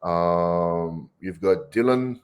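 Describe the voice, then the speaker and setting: A man's voice: sits low, drawn-out words. A man from New Caledonia with a French accent, a strongman coach answering interview questions casually with many fillers.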